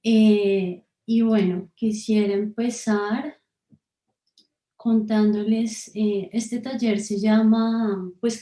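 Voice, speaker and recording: A woman's voice: on the high side, dark tone, echoey room. A woman researcher leading an online workshop, speaking hesitantly with many fillers over a video call. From Colombia.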